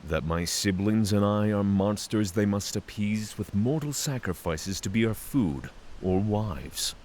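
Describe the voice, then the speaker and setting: A man acting as a giant dragon in mortal company, low-voiced with very mobile intonation. He is formal, candid and courteous, in a fantasy audio drama with hiss behind him. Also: expressive, unhurried, darkish tone. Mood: calm, candid. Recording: clear background hiss.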